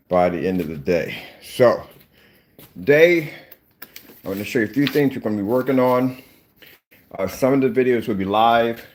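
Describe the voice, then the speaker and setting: A man with a deep morning voice, casually showing his screen paint projects around his home, talking loosely with many fillers and restarts. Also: a little hiss or hum. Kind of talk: casual walkthrough vlog. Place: at home, moving between rooms and basement.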